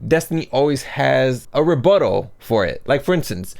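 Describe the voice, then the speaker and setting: A man's voice: mid-range voice, animated, moderate pace, vocal fry, darkish tone. A man narrating online commentary in a casual, conversational way, as a video essayist would.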